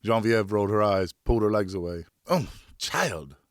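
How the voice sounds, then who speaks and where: A male audiobook narrator with a fairly deep voice. He tells a historical story in the first person, expressively and deliberately, in a very dry recording. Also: steady tone, smooth, no echo. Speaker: audiobook narrator, professional voice actor.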